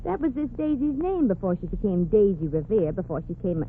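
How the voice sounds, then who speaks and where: A woman's voice: medium pitch, sing-song, brisk, slight background noise, natural voice. An actress playing a streetwise, sarcastic young showgirl with a brassy, slangy New way of talking, in 1940s radio comedy style. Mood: wry. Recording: tinny old radio broadcast.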